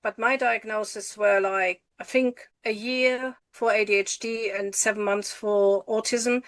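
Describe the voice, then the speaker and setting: A woman's voice: mid-range voice, fairly even intonation, measured pace, a bit bright, no echo. A middle-aged woman from Germany, giving earnest advice as a podcast guest. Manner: encouraging, emphatic, repeats herself for stress.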